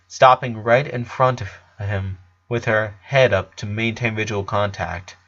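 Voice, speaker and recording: A man's voice: lowish voice, a bit bright, muffled recording. A man reading a story aloud as its narrator, in scripted prose.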